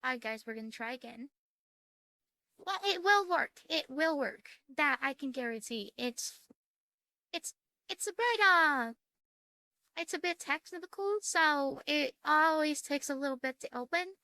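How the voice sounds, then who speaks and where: A woman hosting a gaming video, chatting casually and reading game text aloud in a halting way that repeats words. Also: sits high, animated, pushed and bright, dry recording.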